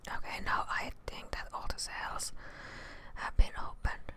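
A woman's voice: a little slow, pushed and bright. A young woman whispering offhand remarks to herself as she plays a game, ASMR style, close to a studio microphone. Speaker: gaming streamer. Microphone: close studio microphone, headset on.

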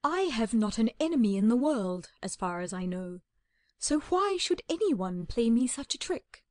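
A woman reading the part of a weary older spinster lady in an audiobook, speaking slowly and plaintively. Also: on the high side, sing-song, a little mellow, no echo, clean studio sound. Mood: protesting. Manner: plaintive, slow and heartfelt.

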